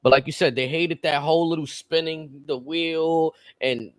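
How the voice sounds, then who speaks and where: A man's voice: fairly high pitch, fairly even intonation, normal speed, no echo. A man in casual podcast chat, blunt and profane, arguing his points. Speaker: podcast co-host.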